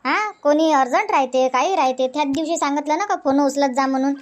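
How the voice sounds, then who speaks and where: High-voiced nagging village wife played by a woman. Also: fairly quick, lightly acted. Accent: Varhadi Marathi. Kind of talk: comic cartoon dialogue dubbing.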